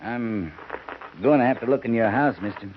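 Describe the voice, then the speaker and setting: An actor playing a hard-bitten cavalry captain, terse and brooding, in a 1950s radio drama. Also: male voice, medium pitch, normal speed, noisy background, lightly acted. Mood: commanding. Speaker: army captain. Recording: muffled 1950s radio broadcast.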